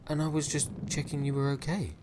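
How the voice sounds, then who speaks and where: A man's voice: a little high, little pitch movement, brisk, a little mellow, a little hiss or hum, pitched above the performer's own voice, lightly acted. A man voicing a nervous young sailor boy who pleads earnestly. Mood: anxious.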